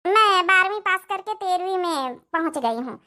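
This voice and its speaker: A woman's voice: very high-pitched, lively intonation, normal speed, sharp tone, heavily acted. A woman voicing a boastful young college-going daughter who talks in an eager, showy way in a cartoon comedy. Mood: proud.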